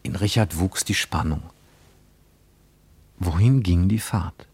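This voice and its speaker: An actor narrating a literary radio play as a grave, reflective storyteller in a measured manner. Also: male voice, deep voice, darkish tone, husky, no echo, full character voice. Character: grave, reflective male storyteller of a travel tale.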